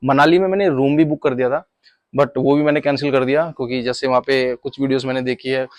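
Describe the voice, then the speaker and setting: A man's voice: mid-range voice, fairly even intonation, quick pace, no echo. A man chatting casually as a motorcycle travel vlogger, conversational and addressing viewers like brothers. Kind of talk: casual vlog chat.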